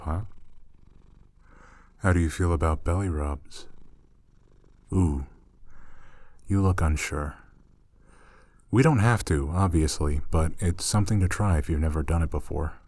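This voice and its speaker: A young man acting as a gentle, teasing roommate in an intimate ASMR roleplay, speaking soothingly and coaxingly, close and soft. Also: low-pitched, dry recording. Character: gentle, teasing male roommate soothing a shy catgirl-like companion.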